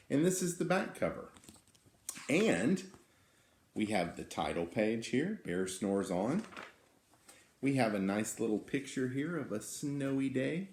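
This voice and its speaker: A man tells a children's story with a very lively pitch and an unhurried, drawn-out delivery. He is expressive and inviting, like a teacher reading aloud. The room adds noticeable echo. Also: a little hiss or hum. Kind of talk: animated picture-book storytelling. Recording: roomy, echoing indoor sound.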